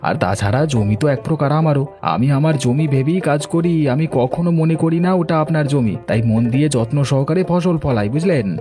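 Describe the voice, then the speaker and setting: A man voicing an eager, flattering farmhand in a children's cartoon, deferential and ingratiating toward his boss. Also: a little high, a little fast, hardly any pauses, soft background music, natural voice.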